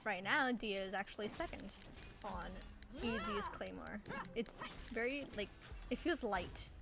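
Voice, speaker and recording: Slow, giggly female game streamer. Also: medium pitch, animated, gravelly. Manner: giggly, halting, restarts thoughts. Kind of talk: casual chat while playing a video game. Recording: faint background noise.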